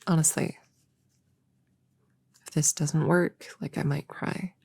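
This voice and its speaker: A woman playing a young, earnest novice witch in a soft, partly whispered ASMR roleplay, chatting hesitantly and intimately as if to a friend. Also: deep voice, steady tone, takes it slowly, exaggerated voice. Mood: anxious, hopeful. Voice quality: breathy, soft.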